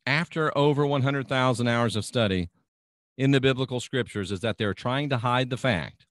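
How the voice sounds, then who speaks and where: A man's voice: mid-range voice, normal speed, no echo, studio quality. An American man hosting a radio show, delivering an insistent, preachy monologue and stacking up repeated phrases for emphasis.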